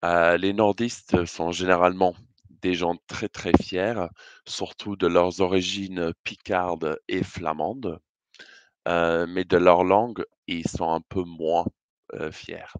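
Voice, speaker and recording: A British man with a low, deep voice delivers a prepared presentation. His British accent shows, and his phrasing is measured and hesitant. The sound is dry and close, with little room around it. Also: steady tone, clear, resonant tone, no echo. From Britain. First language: English. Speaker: conference speaker.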